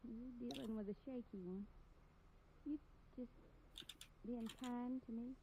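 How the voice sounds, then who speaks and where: An actress playing a blunt, rough-spoken tomboy woman of the frontier in a 1950s radio drama. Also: on the high side, fairly even intonation, measured pace. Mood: awkward. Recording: muffled old radio recording with hiss.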